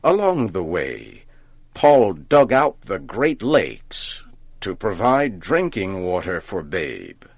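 Slow, expressive male storyteller. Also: deep voice, creaky, no room sound. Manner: deliberate, carefully enunciated. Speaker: storyteller, narrator. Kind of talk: scripted folk tale narration for learners.

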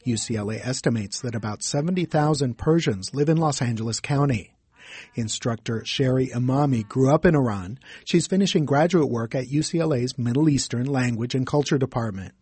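A man reporting a news feature in scripted, measured narration. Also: medium pitch, darkish tone, slightly rough, no room sound.

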